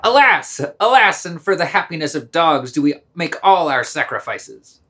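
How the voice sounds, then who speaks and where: A pompous, hypocritical scientist master, acted by a man in an audio play. He scolds with theatrical indignation, his pitch swinging up and down. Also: big pitch swings, moderate pace, bright, forceful tone. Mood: pompous, exasperated. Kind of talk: amateur radio play reading.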